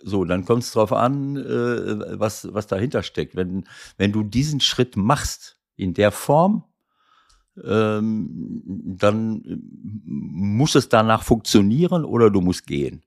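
Rambling older man with a gravelly voice. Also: fairly low pitch, animated, takes it slowly. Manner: rambling, many hesitations. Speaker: football coach and commentator, podcast co-host. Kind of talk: casual podcast chat.

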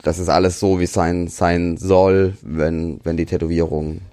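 A man with a deep voice speaks as an interview guest. His talk is casual and colloquial, full of slang and English loanwords. The sound is dry, with very little room in it. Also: little pitch movement, normal speed, mellow, no echo. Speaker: interview guest, tattoo artist.

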